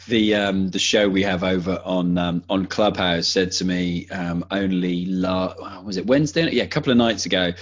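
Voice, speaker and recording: A man's voice: deep voice, fairly bright tone, muffled recording. A man talking casually as a podcast guest, enthusiastic and conversational, restarting his sentences as he goes.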